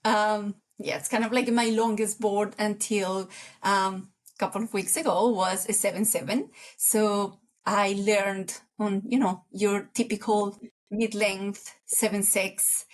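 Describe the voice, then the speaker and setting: A woman, chatting as an interview guest in an unhurried way, often searching for words. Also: mid-range voice. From Colombia. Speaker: guest surfer. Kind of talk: casual interview chat.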